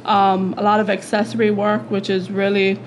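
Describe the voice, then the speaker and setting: A woman from Hawaii in her forties chatting casually as a podcast guest, relaxed and using fillers. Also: medium pitch, normal speed, slight background noise, low-quality recording. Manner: relaxed, answers briefly with fillers. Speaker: guest host, coach.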